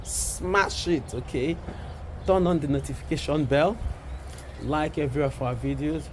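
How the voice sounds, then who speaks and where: A young man with a husky voice, hoarse from a sore throat, chatting casually and playfully to his vlog viewers as he rambles along. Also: a little high, unhurried, a little hiss or hum. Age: young adult. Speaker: vlogger, content creator. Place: outdoors, quiet residential estate street.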